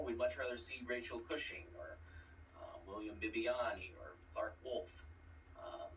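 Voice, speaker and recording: A man's voice: fairly low pitch, a bit bright. A man giving a casual confessional video message in a hesitant, dejected way, as a trivia competitor. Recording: distant mic with faint noise.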